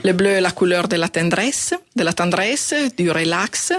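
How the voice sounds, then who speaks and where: A woman's voice: on the low side, moderate pace, fairly bright tone. A woman author describing her book as a radio interview guest, hesitant, with many fillers and self-corrections. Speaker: author, event organizer.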